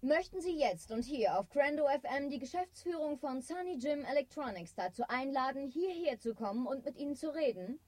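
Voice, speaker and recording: An actress in a German radio drama playing a polite, probing local radio reporter. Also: female voice, high voice, animated, brisk, few pauses, darkish tone. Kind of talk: radio drama acting, interview delivery.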